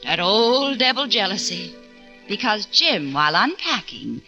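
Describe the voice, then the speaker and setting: A wry wife narrating. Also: female voice, mid-range voice, big pitch swings, measured pace, pushed and bright, highs cut off, heavily acted. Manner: wry, storytelling. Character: wry wife narrating her family's house-building saga. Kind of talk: 1940s radio acting. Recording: old radio broadcast with music.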